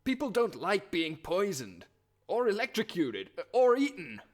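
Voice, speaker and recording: A man's voice: sits high, huge pitch swings, measured pace, full character voice. A man playing a persuasive, articulate hero in a comic puppet musical, earnest and melodramatic in his delivery. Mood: earnest persuading.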